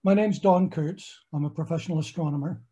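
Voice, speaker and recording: A man's voice: a little high, brisk, dark tone. An older American man, an astronomer, giving a friendly slideshow lecture from his home study over a video call. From United States.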